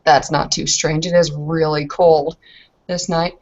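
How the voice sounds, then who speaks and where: Lower-pitched woman improvising roleplay narration. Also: level tone, unhurried, darkish tone, muffled recording. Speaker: roleplay player.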